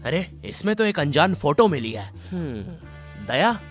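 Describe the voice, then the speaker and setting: A man's voice: fairly high pitch, big pitch swings, a little slow, soft background music. A man voicing a bossy, theatrical detective in a Hindi cartoon parody. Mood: commanding, suspicious.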